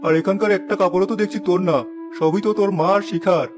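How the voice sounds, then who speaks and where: A high, quick-talking father, voiced by a man, firm and protective of his daughter. Music sits under the dialogue. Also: fairly even intonation, natural voice. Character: caring middle-aged village father.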